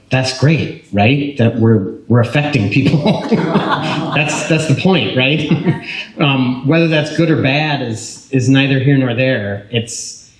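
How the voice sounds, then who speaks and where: A man speaking as an actor on a panel, thoughtful and searching for his words, with light laughs. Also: mid-range voice. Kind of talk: reflective panel talk. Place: public panel event room. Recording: roomy echo.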